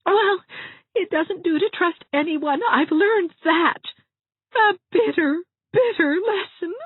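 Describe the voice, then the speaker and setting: A woman's voice: very high-pitched, slow, no echo. A woman voicing a heartbroken, self-pitying young woman who speaks in a tearful, theatrical way, recorded with a tinny, dry sound. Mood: bitter. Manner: tearful, theatrical, sobbing delivery. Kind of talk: dramatized audiobook reading.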